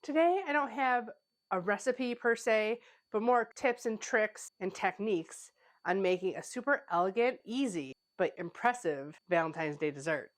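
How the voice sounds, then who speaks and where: Brief: woman home baker hosting her channel, mid-pitched, slightly congested from a cold, chatty and casual. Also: expressive, normal speed. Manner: chatty, conversational, with frequent "like" fillers. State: stuffy, getting over a cold. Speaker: pastry chef and cooking channel host. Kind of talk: casual cooking tutorial. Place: home kitchen.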